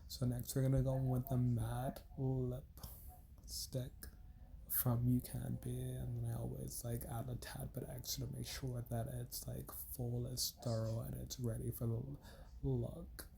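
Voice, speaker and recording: A man's voice: medium pitch, level tone, unhurried, creaky, noisy background. A young man with a congested, stuffy voice, rambling casually through a makeup tutorial voiceover with many "like" fillers. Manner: rambling, heavy use of filler "like". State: congested from a cold. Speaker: beauty vlogger.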